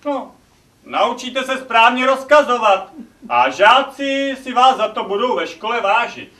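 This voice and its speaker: A man's voice: extremely high pitch, lively intonation, sharp tone, heavily acted. Man playing a cheeky slave, distant and echoey. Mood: impudent pleading. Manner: pleading, mock-servile and impudent. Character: cheeky, clever male slave and storyteller. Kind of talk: live comic stage acting. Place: theatre stage, recorded from far off. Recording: distant echoey stage recording, noisy.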